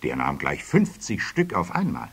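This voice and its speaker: Husky low guy narrating a kids fairy tale, really lively delivery. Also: normal speed. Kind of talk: expressive storybook narration for children.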